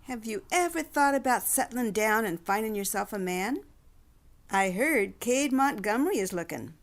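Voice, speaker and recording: A woman's voice: fairly high pitch, lively intonation, moderate pace. A woman playing a chatty, nosy general store owner in a radio drama. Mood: friendly, nosy.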